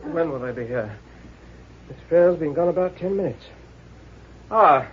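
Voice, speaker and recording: An actor playing an anxious, officious hotel manager in an old-time radio mystery, brisk, proper and apologetic. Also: male voice, a little high, lively intonation, breathy edge. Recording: dull vintage radio recording.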